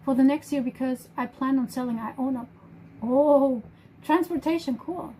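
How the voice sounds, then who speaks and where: A woman's voice: high voice, normal speed, mellow, slight background noise, low-quality recording. A woman with a German accent and German as her first language, hosting a casual live stream, talking emphatically and often restarting her phrases. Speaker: livestream host.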